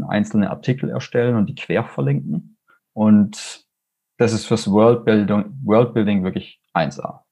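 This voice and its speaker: Gravelly low-voiced Swabian man. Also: warm tone. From Swabia, Germany. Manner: enthusiastic, rambling, many fillers and restarts. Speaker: fantasy author, guest. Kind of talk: casual interview answers.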